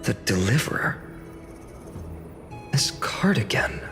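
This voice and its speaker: A man's voice: fairly low pitch, sing-song, slow pace, whispered. A young man playing a humble village hero in a fantasy game, earnest, hesitant and musing. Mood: puzzled. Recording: long hall reverb under music.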